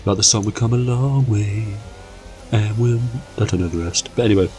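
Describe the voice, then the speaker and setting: A young man with a cold, croaky, giving excitable, jokey commentary over his racing game. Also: fairly low pitch, moderate pace. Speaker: gaming channel host. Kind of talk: casual gameplay commentary.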